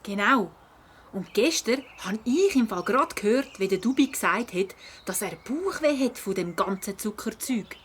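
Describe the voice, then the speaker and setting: A woman's voice: medium pitch, normal speed, breathy edge. A woman voicing a curious, feisty schoolgirl cat in a children's audio drama, speaking expressively with a Swiss German accent. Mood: investigating. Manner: expressive, inquisitive.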